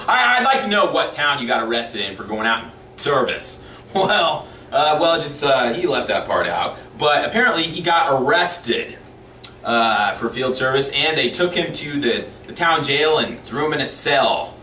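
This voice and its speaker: A man with a bright, forceful voice tells a comic story with a mock-serious delivery and dramatic pauses. He sits some way from the mic in a roomy space, with faint noise behind him. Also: mid-range voice. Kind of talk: animated comic storytelling to camera. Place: small indoor room, seated before camera. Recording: roomy, distant mic with background hiss.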